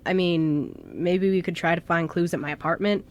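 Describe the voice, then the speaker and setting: A woman's voice: on the low side, moderate pace, highs cut off, close to an everyday voice. Woman playing a flippant, chatty young male ghost. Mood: puzzled, casual. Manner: casual, flippant. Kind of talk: amateur radio play acting.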